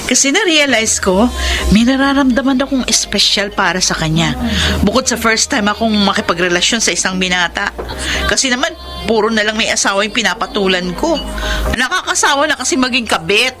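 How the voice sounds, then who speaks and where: Actress playing an earnest young Filipina confessing, over music. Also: female voice, a little high, lively intonation, fairly quick, lightly acted. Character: young adult woman, bar hostess, earnest lover telling her story. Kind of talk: Filipino radio drama acting and letter narration. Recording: music bed under the voice.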